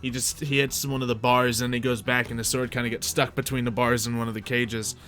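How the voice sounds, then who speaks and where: A man's voice: mid-range voice, level tone, fairly quick, fairly bright tone, faint music behind. A man acting as game master, casually improvising narration and rulings for a tabletop roleplaying game.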